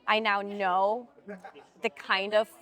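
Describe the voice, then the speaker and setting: A young woman from Switzerland with a Swiss accent, an actress telling her story in a casual interview, chatty and animated. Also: mid-range voice, takes it slowly. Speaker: actress, podcast guest.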